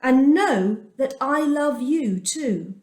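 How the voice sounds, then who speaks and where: Storybook God, loving and fatherly, read by a woman, lively high delivery, roomy sound. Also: slow.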